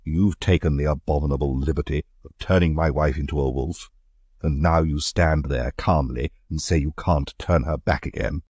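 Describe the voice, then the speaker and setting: A man reading a story aloud, voicing an outraged colonel who shouts demands. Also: a bass voice, steady tone, normal speed, slightly rough, no room sound. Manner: blustering.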